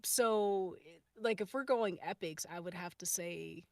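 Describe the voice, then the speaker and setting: A woman's voice: lowish voice, measured pace, dry recording. A woman chatting casually as a panelist, thoughtful and hesitant as she works out her answers. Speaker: podcast cast or crew panelist. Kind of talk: casual chat.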